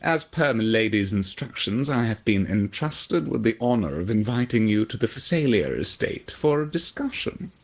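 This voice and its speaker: Polite formal butler, game character, low gravelly voice, very swoopy intonation. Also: male voice, expressive, moderate pace, few pauses. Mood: deferential. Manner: deferential, formal, polished. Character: courteous, formal butler to a noble lady. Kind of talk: video game voice acting.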